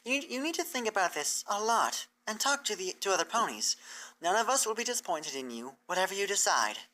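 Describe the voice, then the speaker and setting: A performer voicing a gentle, earnest female unicorn mentor pony in a cartoon fan fiction reading, encouraging and measured. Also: mid-range voice, big pitch swings, voice pushed up.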